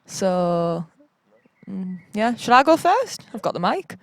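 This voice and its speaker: A woman's voice: mid-range voice, big pitch swings, slow, no echo. A woman from northeast England, chatting in a rambling, upbeat way outdoors. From Durham, northeast England. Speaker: band member asking questions. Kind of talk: casual chat.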